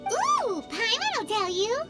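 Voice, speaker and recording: A tiny, excitable fairy sidekick from a fantasy game. The voice is extremely high, squeaky and childlike, swinging expressively up and down, over loud background music. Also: female voice, unhurried, heavily acted. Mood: chatty, frank. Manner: refers to self in third person, expressive. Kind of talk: scripted game character dialogue.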